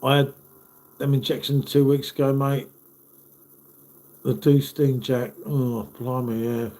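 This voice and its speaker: A man's voice: medium pitch, flat delivery, takes it slowly, darkish tone. A man in his fifties, hosting a casual live stream and chatting matily with viewers while stuffed up from a cold. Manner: chatty, matey, calls viewers "mate".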